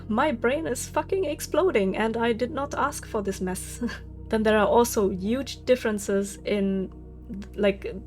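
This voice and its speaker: A woman's voice: medium pitch, darkish tone. A woman, venting candidly in a casual vlog monologue, swearing freely and laughing often. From Germany. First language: German. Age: 30s. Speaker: vlogger.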